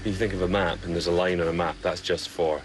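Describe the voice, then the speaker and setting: A man's voice: low-pitched, fairly even intonation, quick pace, background noise. A man presenting a wildlife documentary, explaining and narrating in an earnest way. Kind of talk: scripted narration and on-location explaining.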